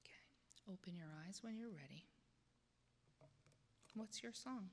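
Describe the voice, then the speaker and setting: A woman's voice: medium pitch, animated, measured pace. A woman leading a church congregation through a guided meditation with simple, spaced-out questions. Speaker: lay leader of guided meditation. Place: church sanctuary, speaking to congregation. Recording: echoey church hall, noticeable background noise.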